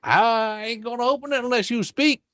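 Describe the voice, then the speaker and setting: A man voicing an excitable, brash young boy in a storybook, with folksy, ungrammatical, exclamatory speech. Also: very high voice, moderate pace, clear, resonant tone, no echo, studio quality, voice pushed up. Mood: defiant.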